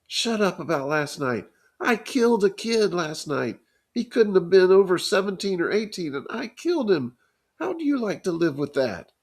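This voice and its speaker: A frightened, gentle teenage boy from a storybook reading speaks up high and shaky, as a man puts him on. His words come out pleading and earnest. He sounds young and soft-hearted. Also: expressive, normal speed, vocal fry, slightly rough, full character voice. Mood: anguished. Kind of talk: reading a novel aloud.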